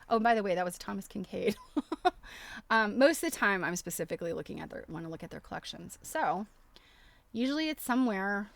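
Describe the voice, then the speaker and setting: A woman talks through what she is browsing in an informal livestream style. Her voice sits at an average pitch, and she is chatty and enthusiastic, often thinking out loud. The sound is dry, with very little room in it. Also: gravelly, no room sound. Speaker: host of a craft livestream. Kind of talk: casual livestream walkthrough of websites.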